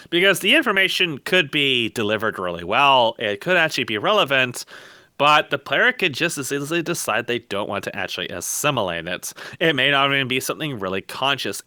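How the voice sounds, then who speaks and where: A man with a very bright, hard-edged voice holds forth in an opinionated podcast discussion. He speaks bluntly and emphatically, often asking questions to press his point. The sound is close and dry, with little room to it. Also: mid-range voice, no room sound. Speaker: podcast guest and game content developer. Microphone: voice call microphone.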